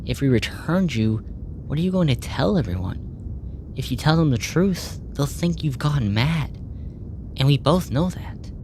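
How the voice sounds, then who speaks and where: A woman acting in a sci-fi ASMR roleplay as a polite, clinical alien researcher, speaking in a measured, formal, earnest way. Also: sits low, lively intonation, a little hiss or hum. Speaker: audio roleplay voice actor.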